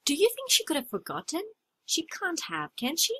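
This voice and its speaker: A young adult woman acting out short scripted everyday dialogues for a listening test, with clear expressive delivery. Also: high-pitched, moderate pace.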